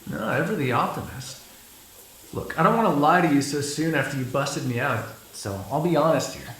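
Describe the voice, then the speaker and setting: A man's voice: on the high side, slight background noise, rough recording. Snarky, flirtatious male vampire played by a man. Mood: wry, defiant. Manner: sarcastic, teasing, casual swearing. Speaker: audio roleplay performer. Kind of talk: ASMR roleplay acting, one side of a dialogue.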